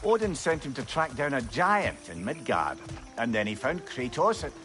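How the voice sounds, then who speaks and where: Wry, animated old advisor. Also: male voice, mid-range voice, normal speed, soft background music. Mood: storytelling. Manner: wry, florid wording. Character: witty, sardonic, learned old male advisor, a talking severed head. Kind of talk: video game dialogue acting.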